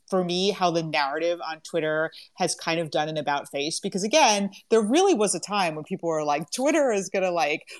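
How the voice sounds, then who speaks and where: A woman guest talking casually in a chatty way, leaning on "like" as she thinks aloud. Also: on the low side. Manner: chatty, fills speech with "like". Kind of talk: casual conversation on a live audio chat.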